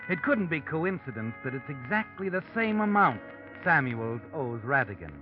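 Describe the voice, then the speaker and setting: A man's voice: medium pitch, natural voice. A man playing a taunting, all-knowing American narrator in 1940s radio noir style. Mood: taunting, urgent. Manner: taunting, addresses the character as you. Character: sinister all-knowing male narrator watching from the shadows. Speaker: narrator of a mystery drama. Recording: muffled old radio, loud music behind.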